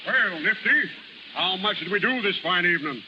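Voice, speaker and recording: An actor playing a genial, pompous carnival boss in a 1930s radio drama, hemming and repeating himself. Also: male voice, high voice, animated, moderate pace. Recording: muffled, noisy 1930s radio recording.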